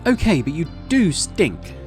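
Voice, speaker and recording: A man's voice: fairly high pitch, big pitch swings, unhurried, soft background music, voice pushed up. A man voicing a defiant, huffy girl in a whiny, indignant way while reading out a story game. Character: defiant, huffy girl child taunting a friend.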